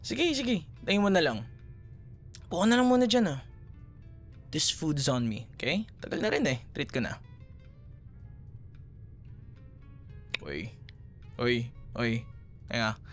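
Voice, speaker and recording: Man acting as a lively, higher-pitched Filipino café guy. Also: measured pace, long pauses, soft background music. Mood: playful, teasing. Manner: chatty, playful, casual conversational. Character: chatty, teasing male café worker meeting an ex. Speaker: voice actor in a roleplay audio. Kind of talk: ASMR boyfriend roleplay, naturalistic acting.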